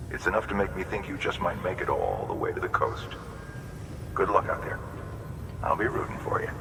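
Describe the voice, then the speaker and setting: Deep-voiced jovial man in an echoey space. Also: recorded from a distance, noisy background. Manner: casual, jovial. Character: admiring, good-natured man greeting a legendary courier. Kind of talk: video game dialogue.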